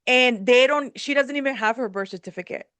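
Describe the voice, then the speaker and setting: A woman's voice: high-pitched, brisk, a bit bright, no echo. A woman from Mexico hosting a podcast, chatting casually and mixing Spanish words into her English. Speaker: podcast host. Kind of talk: casual chat.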